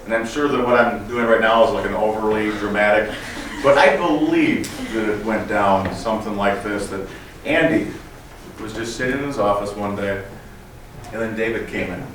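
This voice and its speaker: A man's voice: fairly low pitch, moderate pace. A man speaking as a professor, telling a story informally to explain it. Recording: echoey room, distant mic, faint noise.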